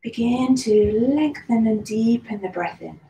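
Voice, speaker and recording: A woman leading a guided meditation, speaking slowly and soothingly with long pauses. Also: fairly high pitch, vocal fry, mellow. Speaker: meditation and yoga teacher. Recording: roomy echo with faint background noise.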